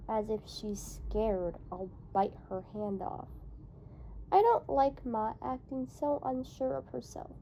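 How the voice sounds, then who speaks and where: A woman reading a novel in verse aloud as an amateur, with a halting delivery that stumbles and repeats phrases. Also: a little high, dark tone, a little hiss or hum, low-quality recording. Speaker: amateur book reader.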